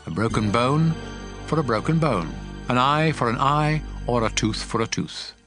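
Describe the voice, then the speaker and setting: An actor playing a stern, commanding God in a dramatized scripture reading, solemn, measured and authoritative. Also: male voice, mid-range voice, lively intonation, fairly bright tone, faint music behind, natural voice. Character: God of Israel, stern commanding male lawgiver.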